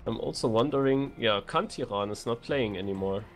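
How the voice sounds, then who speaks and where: A man with an average-pitched voice co-casts an online racing game. He comments on the race in a conversational way, with music running underneath. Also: brisk. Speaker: esports co-commentator.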